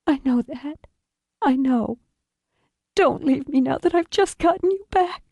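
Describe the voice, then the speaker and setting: A woman's voice: high-pitched, a little slow, darkish tone, dry recording, studio quality, voice pushed up. A woman voicing a frightened, tender young daughter in an audiobook thriller, pleading with breathless urgency. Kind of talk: audiobook thriller character acting.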